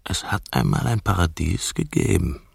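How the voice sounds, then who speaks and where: A deep, gravelly man in a radio drama. He is stubborn and world-weary, musing drily and contradicting. The sound is close and dry, without room. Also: expressive, moderate pace, no echo, heavily acted. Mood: resigned. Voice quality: gravelly, husky. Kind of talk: radio play dialogue.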